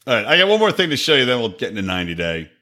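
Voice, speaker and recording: A man hosting a comedy podcast, joking crudely and excitably in casual banter. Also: mid-range voice, very fast. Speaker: podcast host.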